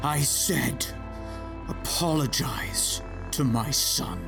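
A man playing a very slow-spoken, intense warrior father. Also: medium pitch, expressive, exaggerated voice. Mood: menacing. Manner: slow, deliberate, menacing. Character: stern, protective Jedi father, vengeful in combat. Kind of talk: audio drama acting. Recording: music clearly behind the voice.

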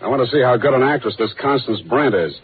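A man's voice: medium pitch, animated, quick pace, natural voice. A man playing a cool, wisecracking private detective, terse and sardonic, in 1940s radio style. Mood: dry, probing. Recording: muffled, noisy old radio recording.

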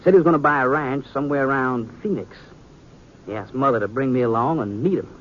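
A man's voice: fast talker, fairly bright tone, slight background noise, close to an everyday voice. A man acting a plain-spoken, determined young American sheriff in a 1950s radio western. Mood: earnest, wistful. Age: young adult. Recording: muffled, rumbly 1950s radio recording.

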